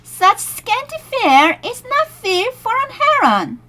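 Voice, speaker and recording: Narrator doing a high, haughty, fussy heron. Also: huge pitch swings, slow, bright, forceful tone, full character voice. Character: fussy proud male heron, hard to please.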